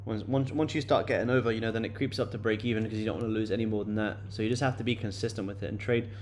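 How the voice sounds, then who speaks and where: A man's voice: on the low side, fairly even intonation, rapid-fire, a little mellow, a little hiss or hum. A man in his late twenties chatting loosely to viewers on a live stream, with many fillers and repeated words. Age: late 20s. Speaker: day-trading livestream host. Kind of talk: casual live-stream chat answering viewers.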